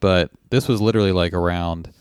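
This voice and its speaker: A man with a very deep voice, born in Iran and raised with Farsi as his first language, talks in a measured, reflective way. His voice is dry and close-miked. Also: clear, resonant tone, no room sound. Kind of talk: casual chat.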